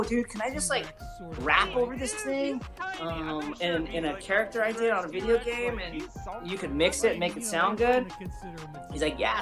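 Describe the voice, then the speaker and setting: A woman speaking as a guest voice actor in a casual interview, with animated, youthful, slangy talk. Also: lowish voice.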